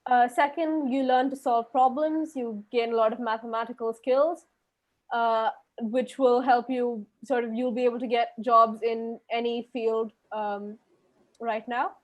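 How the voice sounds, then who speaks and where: A woman's voice: fairly high pitch, steady tone, measured pace. Young Indian woman presenting in a webinar. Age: young adult. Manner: hesitant, many fillers. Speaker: student panelist.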